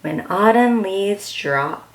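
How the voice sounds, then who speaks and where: A woman reading a picture book aloud to young children as a host, with excited, encouraging exclamations. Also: mid-range voice, slow pace, background noise.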